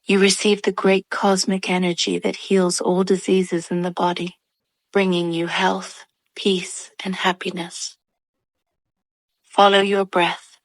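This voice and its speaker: A woman's voice: fairly low pitch, level tone, slow, dry recording. A woman narrating a guided meditation in a soothing, instructional way.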